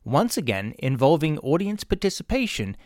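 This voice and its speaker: A man's voice: a little high, big pitch swings, moderate pace, noisy background. A middle-aged man with an Australian accent, reading scripted promotional narration as a podcast host. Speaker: podcast host and drama workshop creator. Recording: music behind the voice. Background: music.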